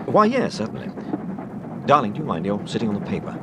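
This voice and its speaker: Urbane British detective, protective husband, very animated pitch, well-spoken, radio drama, noisy sound effects behind. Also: male voice, fast. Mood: composed, polite. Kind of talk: BBC radio drama acting.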